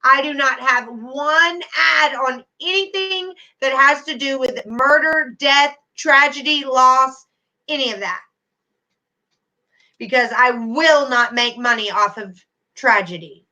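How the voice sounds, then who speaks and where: A woman's voice: high voice, slow pace, pushed and bright, low-quality recording. A middle-aged woman hosting a casual livestream, chatting to viewers by name with endearments. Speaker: YouTube livestream host.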